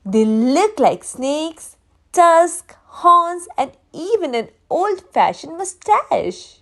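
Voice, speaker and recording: A woman's voice: high-pitched, very animated, drawn-out words, fairly bright tone, pitched above the performer's own voice, heavily acted. A woman voicing a friendly, cheerful grown-up female badger who guides children in a storybook. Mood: explaining.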